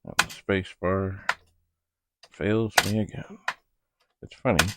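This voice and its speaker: A man thinking aloud in a halting, casual ramble as he hosts a hands-on hobby demonstration, muttering to himself. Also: deep voice, steady tone, very noisy. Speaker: retro computer hobbyist host. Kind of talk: casual rambling demonstration while testing equipment.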